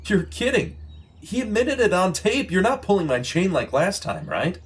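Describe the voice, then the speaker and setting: An actor playing an earnest, righteous former journalist investigating a killing in a radio drama. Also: male voice, high-pitched, very animated, normal speed, noisy background. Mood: urgent. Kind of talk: audio drama acting in vintage radio manner. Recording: music laid under the voice. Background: plucked guitar music.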